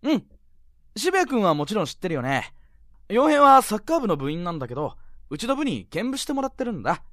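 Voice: medium pitch, big pitch swings, fast, a bit bright, dry recording, clean studio sound. An actor playing a cheerful, confident teenage male club president with a theatrical, friendly manner, in a youth voice drama. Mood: cheerful, breezy. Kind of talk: Japanese youth romantic comedy voice drama.

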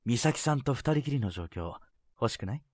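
A man playing a sly, teasing high school senior in a Japanese romantic-comedy voice drama, smooth-talking and confident. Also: expressive, moderate pace, a little mellow, dry recording, lightly acted. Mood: sly, persuasive. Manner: smooth-talking, playful, insinuating.

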